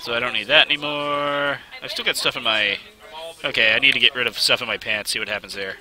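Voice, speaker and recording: A man giving live commentary while playing a game, excitable and talking to himself, with a slight cold and sniffling. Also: medium pitch, quick pace, soft background music. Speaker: game streamer. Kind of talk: live let's play commentary. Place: game studio event.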